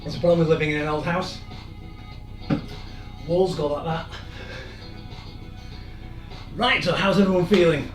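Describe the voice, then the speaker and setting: A man, hoarse and losing his voice, leading a live-streamed workout with chatty, encouraging asides. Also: fairly high pitch, fairly even intonation, a little slow, pauses often, distant mic. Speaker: fitness instructor. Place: room in an old house. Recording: echoey room, off-mic, music behind.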